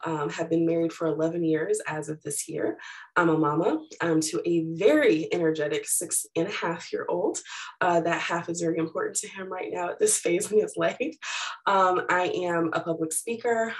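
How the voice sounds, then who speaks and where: A middle-aged woman, a little raspy from allergies, giving a chatty webinar presentation with plenty of fillers. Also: on the low side, low-quality recording. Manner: casual and chatty, many fillers.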